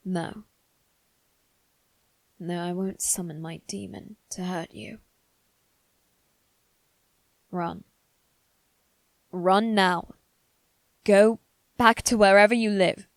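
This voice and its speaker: A woman voicing a guarded, haughty young noble boy in a scripted ASMR roleplay, with measured, formal phrasing. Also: medium pitch, fairly even intonation, vocal fry, no room sound. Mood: urgent, conflicted. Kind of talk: ASMR roleplay, one-sided scripted dialogue.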